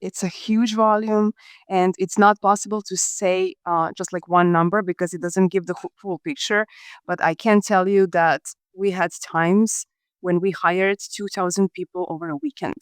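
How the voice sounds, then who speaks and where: A woman from Croatia, a podcast guest explaining her hiring work in a measured, businesslike way. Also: mid-range voice, clean tone, no room sound. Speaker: guest, talent acquisition leader. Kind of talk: podcast interview answers.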